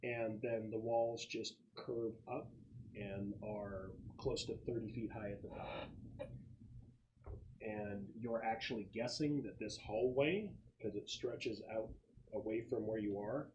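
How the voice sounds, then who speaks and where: A man running a tabletop game as game master, describing scenes off the cuff and pausing as he searches for words. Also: on the low side, steady tone, a little mellow, highs cut off, a little hiss or hum. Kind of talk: improvised tabletop game narration.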